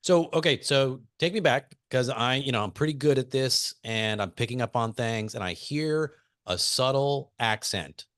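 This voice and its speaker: A man's voice: medium pitch, normal speed, a bit bright, no echo, clean studio sound. A man hosting a podcast interview, easygoing and laughing often as he steers the conversation with questions. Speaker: podcast host.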